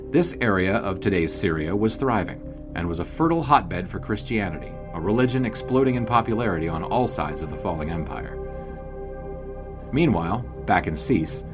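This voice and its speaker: A man's voice: lowish voice, lightly acted. A man playing a wry old-time sports writer. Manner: dry, wry storyteller. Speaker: narrator. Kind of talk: scripted period narration. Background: background music.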